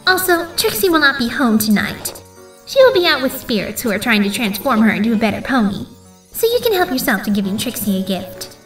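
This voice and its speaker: A woman's voice: a little high, sing-song, a little fast, a bit bright, echoey room, recorded from a distance, soft background music, rough recording. A woman voicing a vain, theatrical young female pony magician in a comic dub, haughty and speaking of herself in the third person. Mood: self-important.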